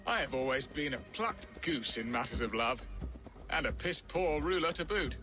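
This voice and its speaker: A man's voice: on the high side, expressive, moderate pace, close to an everyday voice. A man acting a melodramatic, self-deprecating Saxon lord in a video game, with flowery, wistful romantic speech. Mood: wistful, dramatic. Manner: theatrical, flowery, musing speech. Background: game music.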